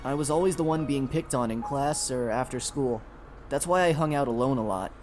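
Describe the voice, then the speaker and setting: A man's voice: mid-range voice, fairly quick, close to an everyday voice. A young man acting as an earnest, easygoing mecha pilot in a video game, speaking conversationally. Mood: wistful, earnest. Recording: music under the voice.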